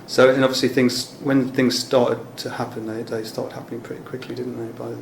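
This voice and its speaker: A man conducting a relaxed, informal interview in a flat, even tone. His voice is medium-pitched, recorded in an echoey space with noticeable background noise. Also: brisk, creaky. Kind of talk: casual interview chat.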